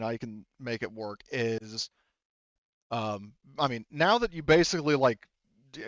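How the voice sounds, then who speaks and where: A man narrating a tabletop game as game master, improvising in a halting, hesitant, rambling way. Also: mid-range voice, no echo, lo-fi sound.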